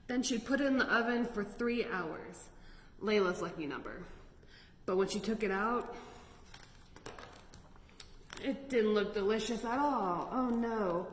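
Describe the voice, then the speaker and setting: A woman's voice: medium pitch, unhurried, pauses often. A woman hosting a children's storytime, reading picture books aloud expressively and adding chatty, exclaiming asides to the listeners. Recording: echoey, reverberant room with noticeable noise.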